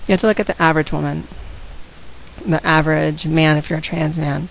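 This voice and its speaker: A woman with a slight head cold and a raspy voice, talking casually and outspokenly straight to her viewers in a vlog. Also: deep voice, fairly even intonation, a little fast, a little hiss or hum, poor audio. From San Antonio, Texas. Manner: outspoken, direct, addresses viewers. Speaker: vlogger. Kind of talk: casual talk to camera.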